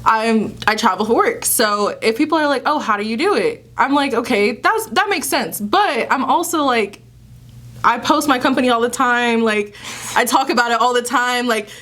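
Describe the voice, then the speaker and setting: A woman's voice: on the high side, lively intonation, moderate pace, fairly bright tone. A woman, co-hosting a podcast in easygoing, giggly casual chat full of fillers. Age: 20s. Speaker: podcast co-host.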